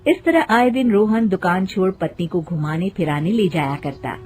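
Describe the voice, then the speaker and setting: A woman's voice: fairly low pitch, expressive, moderate pace, darkish tone. Woman narrating a moral story over music.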